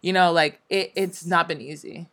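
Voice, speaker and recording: A woman's voice: on the low side, normal speed. A young woman who teaches in Korea, chatting casually and acting out her students' and coworkers' lines. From Nigeria and America. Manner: energetic, expressive storyteller, acts out quotes. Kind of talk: casual chat.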